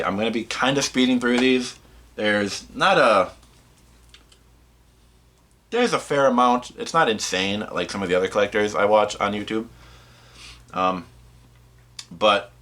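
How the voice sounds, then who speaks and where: A man hosting a casual movie collection vlog, rambling and halting as he interrupts himself, slightly sniffly from a cold. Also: fairly low pitch, a bit bright. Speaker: host of a movie collection video channel.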